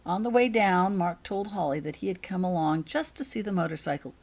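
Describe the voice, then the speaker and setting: Female author-narrator for a fiction audiobook, slightly low pitch, highly varied intonation. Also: expressive, a little fast, creaky, a little mellow. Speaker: author reading own story. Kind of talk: audiobook-style story narration.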